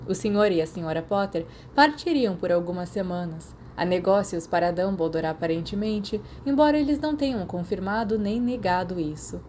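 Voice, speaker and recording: A woman's voice: fairly low pitch, animated, moderate pace, hardly any pauses, darkish tone. A woman with a Brazilian accent reading a story as scripted audiobook narration. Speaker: podfic narrator. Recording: clear background noise.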